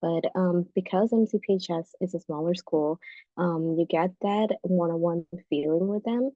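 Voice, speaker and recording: A woman's voice: on the low side, normal speed, no echo. A young woman from Brazil, speaking earnestly and in a friendly way as a student panelist. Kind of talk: casual panel talk. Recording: tinny phone-like call audio.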